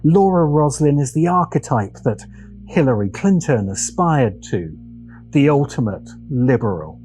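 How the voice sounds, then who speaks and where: A man narrating a scripted video essay as host, with deliberate, dramatic phrasing and pauses. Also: medium pitch, sing-song, dark tone. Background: background music.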